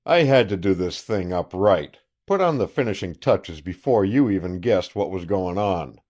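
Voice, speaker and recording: A man reading aloud voices a self-satisfied male county sheriff who is loud, triumphant and blustering. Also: low voice, animated, moderate pace. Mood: boastful.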